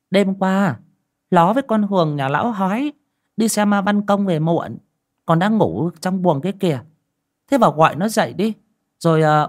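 A man voicing a patient, hardworking village wife near fifty, speaking briskly. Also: exaggerated voice. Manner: brisk, practical, raised pitch.

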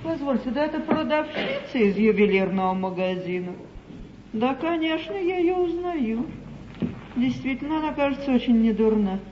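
A woman's voice: high voice, lively intonation, normal speed. A haughty, imperious duchess of period stage comedy. She speaks with grand, icy condescension. The old theatre recording is echoey and muffled. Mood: condescending. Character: haughty, imperious English duchess at royal court. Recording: muffled, echoey 1953 theatre broadcast, faint noise.

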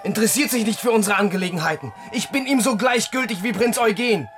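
An actor playing a young, dreamy and rebellious crown prince in a 1970s radio play, speaking with passion and musing at times in a whisper. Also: male voice, sits high, fast talker, over music, close to an everyday voice. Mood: impassioned. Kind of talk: 1970s radio drama acting.

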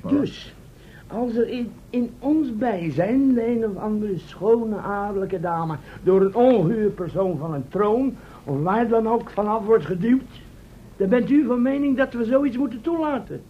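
An actor playing a cheeky, headstrong assistant in a radio drama, talking in an informal, argumentative way. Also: male voice, unhurried, husky. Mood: indignant. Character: cheeky, headstrong, eager male assistant on time-travel expedition. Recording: dull, rumbly, noisy old radio recording.

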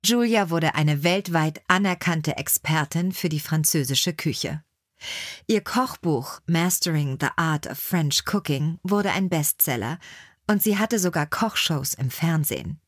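A woman reads in a scripted storybook narration style. Her pitch is slightly low, and the sound is very dry, with no room around the voice. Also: lively intonation, unhurried, no room sound. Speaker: audiobook narrator.

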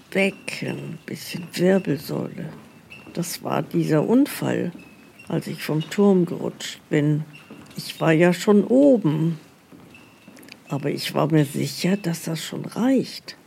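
Woman doing an old lady pensioner, mellow low voice, plaintive rambling, bit of noise behind her. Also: creaky, exaggerated voice. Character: older woman pensioner, aggrieved patient recounting her fall. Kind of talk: mock-documentary monologue.

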